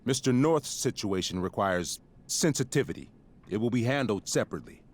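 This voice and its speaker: A stern middle-aged school principal with a lowish voice. He speaks in formal, pompous, wordy sentences, handing down judgment as in a dramatic video game scene. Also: animated, a little fast. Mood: cold, severe.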